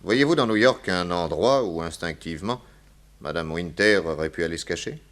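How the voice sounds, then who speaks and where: A shrewd private detective in a radio mystery. His voice is fairly low and measured, and he probes with great courtesy and deference. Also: fairly bright tone. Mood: deferential, thoughtful. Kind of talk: French radio detective drama acting.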